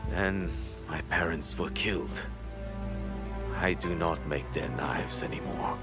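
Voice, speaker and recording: Game role: solemn, broken male blacksmith, very low voice, slow halting delivery. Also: loud music behind the voice. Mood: sorrowful, resigned. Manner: terse, halting, somber. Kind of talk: video game cutscene acting.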